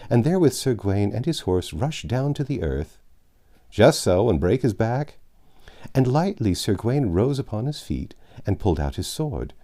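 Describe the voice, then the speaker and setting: A man narrating a classic novel aloud, expressive with wry asides and occasional self-corrections. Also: on the low side.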